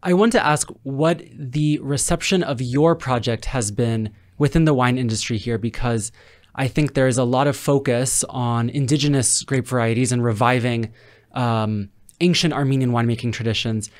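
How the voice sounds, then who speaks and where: Male podcast interviewer, thirties, medium pitch, polite and measured, close studio mic. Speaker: interviewer, host. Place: small padded podcast studio. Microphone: close studio microphone on arm, headphones.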